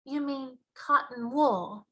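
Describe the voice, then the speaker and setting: A woman acts a young storybook girl with a very high, soft voice. She is polite and a little timid, asking things in a hesitant, questioning way. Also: slow pace. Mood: puzzled. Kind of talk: children's storybook read-aloud.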